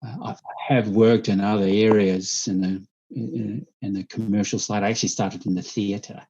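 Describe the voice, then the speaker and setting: A man from New Zealand, a guest storyteller, answering interview questions thoughtfully, halting often with fillers and restarts, speaking over a video call. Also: fairly low pitch, fairly even intonation, mellow, breathy edge. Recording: dull lo-fi remote audio.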